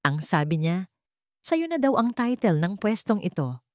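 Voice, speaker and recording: A woman's voice: mid-range voice, very animated, a little slow, no room sound, studio quality. A woman voicing a cheerful middle-aged male landlord in a love story, jovial and exclaiming.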